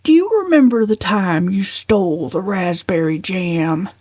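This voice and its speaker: A woman's voice: lowish voice, a little slow, a little mellow, no echo, clean studio sound. A woman voicing a pompous, indignant older uncle with a growling, self-important delivery in a storybook reading. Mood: stern, indignant.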